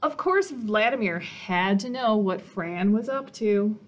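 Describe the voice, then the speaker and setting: A woman in her thirties reading a picture book aloud for children as a storytime host, expressive and deliberate. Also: mid-range voice, lo-fi sound. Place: small indoor reading corner.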